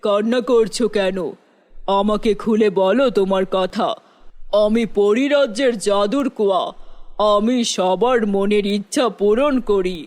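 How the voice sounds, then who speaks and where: An actor playing a benevolent magical talking well in a cartoon fairy tale, speaking in a coaxing manner. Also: male voice, sits high, unhurried, a little mellow. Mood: caring.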